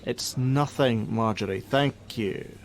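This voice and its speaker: A man's voice: medium pitch, slow pace, a little hiss or hum. A middle-aged man, playing an irritable, evasive father who works on a helpline in a radio play. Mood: tense, evasive. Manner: curt, sarcastic. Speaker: helpline worker.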